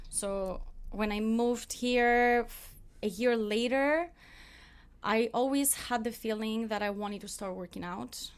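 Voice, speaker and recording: A woman in her thirties from Greece, a coach guesting on a podcast, friendly and quick to laugh with hesitant fillers. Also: mid-range voice. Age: 30s. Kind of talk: casual podcast chat.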